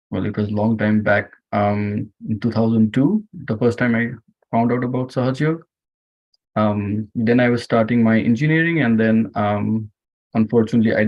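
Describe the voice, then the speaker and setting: Hesitant Nepali-accented man, gravelly creak. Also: on the low side. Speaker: meditation session host. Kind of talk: informal personal talk to online group. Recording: muffled, dull lo-fi online audio.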